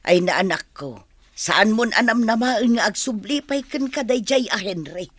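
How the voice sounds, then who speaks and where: A woman's voice: medium pitch, expressive, moderate pace, a bit bright, no echo. Middle-aged nagging Filipino mother, worried, swooping radio drama delivery, dry close sound. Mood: scolding.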